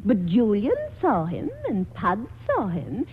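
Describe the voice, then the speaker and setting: An actress playing a shrewish, scheming aunt, prim and insistent, in a 1940s radio comedy. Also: female voice, medium pitch, huge pitch swings, takes it slowly, slightly rough, heavily acted. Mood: sardonic, insistent. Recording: tinny, band-limited old radio broadcast.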